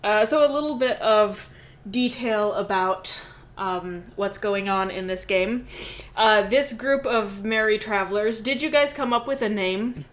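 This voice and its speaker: A woman's voice: medium pitch, measured pace. A woman hosting a tabletop game on a livestream, chatting casually in a playful, joking way and laughing readily. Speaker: game master and stream host.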